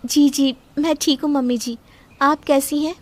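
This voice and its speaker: A woman voicing an earnest twenty-five-year-old bride-to-be in an audio story, gentle, questioning and politely firm. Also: high-pitched, steady tone, a little slow, voice pushed up. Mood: polite, hesitant. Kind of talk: acted Hindi audio story.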